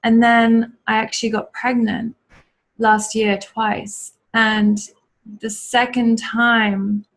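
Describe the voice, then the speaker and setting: A woman guest on a video call, telling a personal story in an earnest, reflective, spiritual way with many pauses and fillers. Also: fairly high pitch, little pitch movement, low-quality recording. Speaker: guest, yoga teacher and school founder. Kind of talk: casual interview storytelling.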